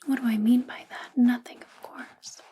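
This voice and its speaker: A woman playing a possessive, doting female boss in a soft-spoken ASMR roleplay, breathy and mostly whispered, intimate and coaxing, close to the microphone. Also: on the high side, flat delivery, normal speed. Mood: protective, controlling.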